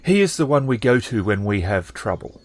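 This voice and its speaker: A man's voice: medium pitch, big pitch swings, fairly quick, natural voice. A man voicing a weary middle-aged peasant farmer in a tabletop game, hesitant and deferential. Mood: wary, deferential.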